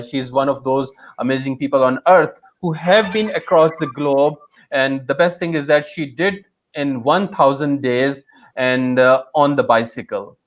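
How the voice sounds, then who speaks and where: A man from Pakistan hosts a live online talk, his voice sitting a little high for a man. He halts often with fillers and meets his guests' stories with eager exclamations. He is heard through a video call. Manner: hesitant, many fillers, enthusiastic exclamations. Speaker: webinar host. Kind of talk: live webinar interview.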